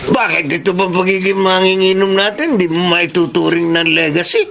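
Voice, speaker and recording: Teasing tricycle driver, gravelly, slightly high, faint noise. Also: male voice, moderate pace. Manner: teasing, joking. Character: joking tricycle-driver buddy, teasing drinker.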